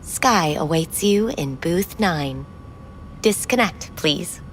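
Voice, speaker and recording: An actress playing a poised, courteous female receptionist at a futuristic club in a video game, with a formal, measured manner. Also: lowish voice, animated, a little hiss or hum. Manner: formal, measured, smoothly sales-like. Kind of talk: video game dialogue acting.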